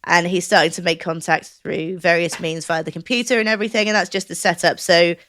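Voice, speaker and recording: A woman's voice: lowish voice, fairly even intonation, fairly quick, fairly bright tone, dry recording. A woman chatting casually as a podcast co-host, explaining things in an enthusiastic, rambling way.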